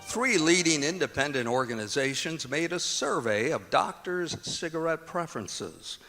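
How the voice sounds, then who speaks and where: A man's voice: fairly high pitch, bright, forceful tone. An American man working as announcer for a stage radio show, delivering scripted copy in an old-time radio announcing style. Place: performing arts auditorium stage, live performance. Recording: long hall reverb, music behind, live auditorium.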